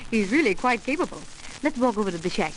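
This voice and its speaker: An actress playing a composed, decisive woman investigator in an old-time radio drama, crisp, polite and commanding. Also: mid-range voice, lively intonation, fast, lightly acted. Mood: confiding, earnest. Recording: slightly noisy old radio broadcast.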